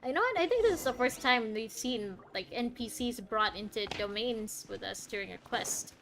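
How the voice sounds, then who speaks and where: A woman's voice: fairly high pitch, animated, normal speed, noisy background. A woman streaming a game, casually musing aloud and reacting to the dialogue.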